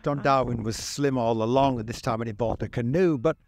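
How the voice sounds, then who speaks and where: A man in his fifties narrates to camera out on seaside streets. His pitch is middling and his delivery is dry and wry, like a scripted video essay. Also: a little fast, gravelly, soft background music. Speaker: video presenter. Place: outdoors on seaside town streets.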